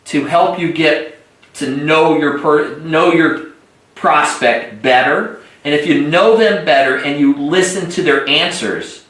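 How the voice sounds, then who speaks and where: Higher-voiced man coaching sales, echoey room. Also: slow pace, a bit bright. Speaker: sales trainer hosting an agent conference call. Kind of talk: instructional sales coaching talk.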